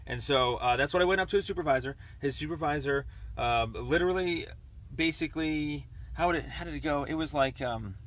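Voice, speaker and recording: A man calling to make a complaint, explaining at length in a rambling, halting, stammering way over the phone. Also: fairly high pitch, a bit bright. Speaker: caller making a complaint. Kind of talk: phone conversation. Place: on a phone call.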